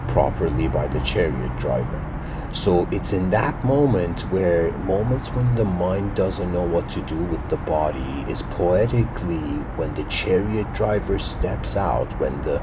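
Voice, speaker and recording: A man with an extremely deep voice speaks outdoors, loud traffic roaring around him. He lectures slowly on spiritual ideas, pausing often, breaking off mid-phrase and clearing his throat as he searches for words. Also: animated. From Iran. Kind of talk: spiritual lecture, unscripted musing.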